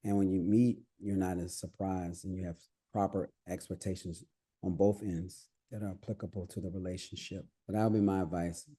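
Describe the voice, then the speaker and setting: A man's voice: sits low, flat delivery, moderate pace, mellow, slightly rough. A man from the United States with no accent, answering interview questions in a reflective, advice-giving way with frequent restarts. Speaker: podcast guest.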